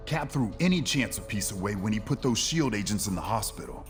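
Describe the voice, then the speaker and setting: An actor playing an earnest, steady male superhero in a video game, speaking seriously and firmly. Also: fairly low pitch, fairly quick, soft background music. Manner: serious, firm. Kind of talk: video game cutscene acting.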